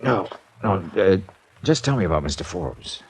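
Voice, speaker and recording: Wry, low-voiced hard-boiled radio detective. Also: male voice, sing-song, moderate pace, darkish tone, slight background noise. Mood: terse, questioning. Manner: dry, wry, clipped. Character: wry hard-boiled male insurance investigator. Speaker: narrator and lead actor playing an insurance investigator. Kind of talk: 1950s radio detective drama, hard-boiled first-person narration.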